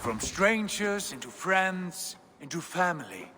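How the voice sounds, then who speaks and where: An actor playing a confident Viking jarl in a video game cutscene, commanding and welcoming in a formal, declamatory way. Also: male voice, sits high, sing-song, takes it slowly, noisy background, full character voice.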